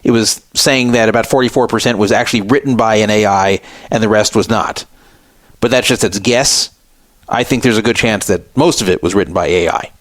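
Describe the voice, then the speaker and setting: A man's voice: fairly low pitch, fairly quick, no echo. Sarcastic male talk-radio host. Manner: sarcastic, scoffing at a statement he reads aloud. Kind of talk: opinionated talk radio commentary.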